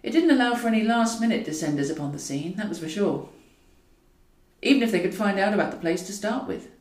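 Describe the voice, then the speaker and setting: A woman's voice: mid-range voice, animated, quick pace, darkish tone. A woman reads scripted fiction as a narrator, with a wry, wordy storytelling manner. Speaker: author reading own audiobook. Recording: roomy echo, distant from mic.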